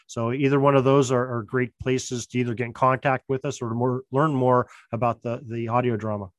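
A man speaking as a podcast guest, explaining things in a halting, earnest, casual way. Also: mid-range voice, flat delivery, no echo, studio quality. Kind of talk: casual interview chat.

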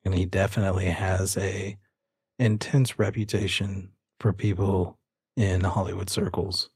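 A man narrating a tabletop roleplaying game as game master, unhurried and descriptive, leaving pauses as he improvises. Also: sits low, flat delivery, a little mellow, breathy edge, no echo, studio quality.

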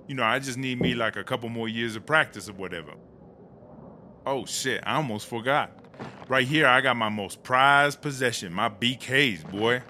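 A man's voice: mid-range voice, bright, forceful tone, a little hiss or hum, close to an everyday voice. Man playing a swaggering, slangy woman inmate. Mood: boastful, fond. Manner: slangy, swaggering street storytelling. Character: brash, proud woman prison inmate, swaggering and funny. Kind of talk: comedic audio drama monologue.

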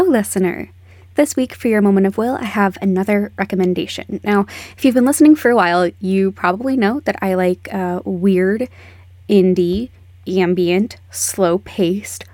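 A woman with a medium-pitched voice recommends things to podcast listeners in an intimate way. She uses dramatic pauses and soft endings, recorded very dry and close. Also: no echo. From a desert region. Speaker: podcast contributor giving recommendations.

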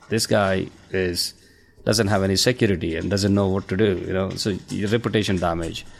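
A man's voice: low voice, vocal fry. A middle-aged man from India with an Indian accent, chatting as a podcast guest, with many fillers and laughs between points. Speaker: guest, cybersecurity company founder. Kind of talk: casual podcast interview.

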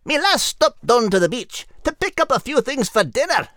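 Cheerful Scottish critter, high-pitched and very animated, folksy joker for a kids' audio story. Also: female voice, moderate pace, dry recording, clean studio sound. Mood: chatty. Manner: chatty, folksy, joking.

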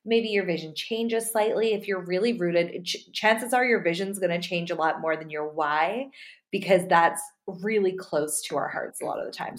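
Chatty woman hosting a podcast, low voice. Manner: chatty, affirming, with frequent fillers. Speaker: podcast host. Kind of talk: casual podcast interview.

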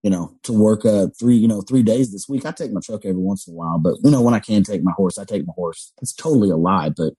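A man's voice: on the low side, warm tone, slightly rough, no echo. A young man from Texas, a comedian telling rambling stories in a casual podcast chat. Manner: rambling storyteller, quick and loose. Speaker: comedian guest.

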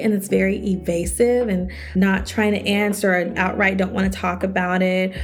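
A young woman hosting her own podcast as a self-worth coach, talking casually and chattily, her voice a little scratchy. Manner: chatty, animated, quotes others in higher voices. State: slightly scratchy voice. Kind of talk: casual solo podcast talk.

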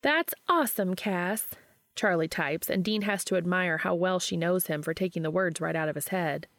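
A woman narrating fiction as an audiobook reader, in an expressive storytelling style. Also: on the low side, brisk, creaky, dry recording, studio quality.

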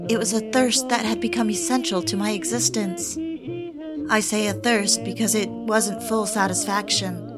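A woman's voice: mid-range voice, moderate pace, over loud music, natural voice. An actress playing a romantic, yearning woman in love, intimate and reflective, in a confessional audio drama. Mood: wistful, reflective. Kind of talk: audio drama, confessional first-person narration.

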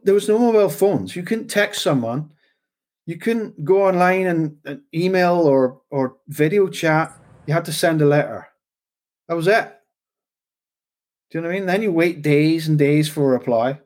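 A man's voice: fairly high pitch, darkish tone. Rambling Scottish man, casual talk. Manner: rambling, repeats "do you know what I mean". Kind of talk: casual conversation.

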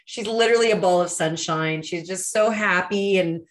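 A woman's voice: lowish voice, moderate pace. A woman in her thirties hosting a podcast interview, enthusiastic and rambling, full of fillers. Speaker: podcast host.

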